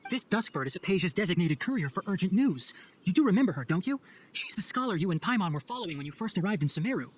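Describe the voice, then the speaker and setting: Brisk, articulate young forest ranger. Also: male voice, high-pitched, big pitch swings, a little hiss or hum. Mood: composed, urgent. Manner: articulate, formal, explanatory. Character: earnest young male forest ranger, scholarly and composed. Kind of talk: video game cutscene voice acting.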